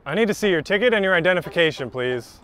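A man's voice: high-pitched, expressive, fast, fairly bright tone. A man playing a middle-aged impostor policeman, curt, commanding and bullying, in a scripted short drama. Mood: stern, official. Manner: clipped, commanding. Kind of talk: scripted short-film acting. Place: outdoors on street and station platform.

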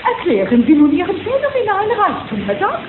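A woman's voice: extremely high pitch, wildly swooping pitch, fairly quick, heavily acted. An actress playing an inquisitive woman reporter, gushing and probing, in a cartoon audio drama. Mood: curious, probing. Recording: muffled, roomy, noisy old cassette.

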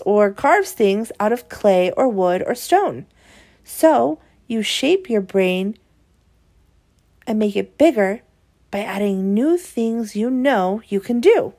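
A woman's voice: medium pitch, mellow. A woman reading a children's picture book aloud, explaining it expressively to young listeners. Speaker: read-aloud presenter.

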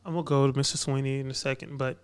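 A man's voice: mid-range voice, flat delivery, rapid-fire, smooth. A man in his thirties hosting a podcast in a barbershop space, talking casually and prompting his guests with questions. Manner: conversational, prompting, repeats "man". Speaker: podcast host. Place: barbershop event space, indoors. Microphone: podcast mic, headphones on.

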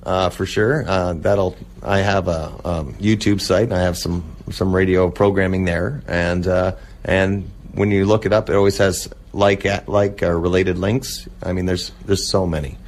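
A man speaking as a guest in a casual interview, talking in a halting, rambling way about his hobby. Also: low-pitched, fairly even intonation, slight background noise. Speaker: radio show guest, old-time radio enthusiast.

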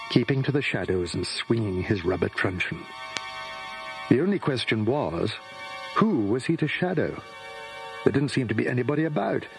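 A man narrating a scripted children's story. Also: fairly low pitch.

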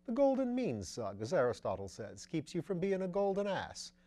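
A man acts out a breezy upper-class English gentleman detective from a storybook. He pitches high and talks in airy, teasing, whimsical flourishes, flippant and full of plummy slang. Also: huge pitch swings, moderate pace. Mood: playful, easy. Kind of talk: audiobook character dialogue.

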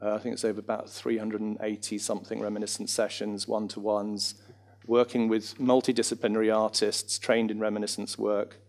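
Low-voiced, reflective man. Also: darkish tone. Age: middle-aged. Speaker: panellist, reminiscence arts practitioner and former actor. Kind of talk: informal panel talk. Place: small theatre stage in front of an audience. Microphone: handheld microphone.

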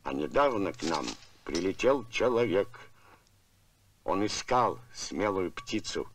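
Measured cartoon robot, low voice, unhurried, faint noise. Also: male voice, expressive. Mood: matter-of-fact. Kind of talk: animated film voice acting.

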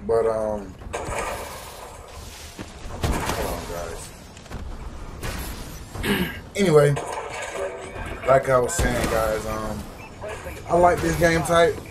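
A hoarse young man giving rambling, slangy, casual commentary over his gameplay, full of fillers and restarts. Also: mid-range voice, lively intonation, drawn-out words, long pauses. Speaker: gaming YouTuber. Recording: loud background noise.

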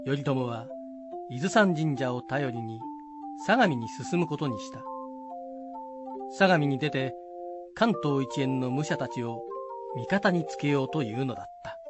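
A man's voice: medium pitch, animated, unhurried, pauses often, music in the background. A man giving scripted storytelling narration of a historical tale.

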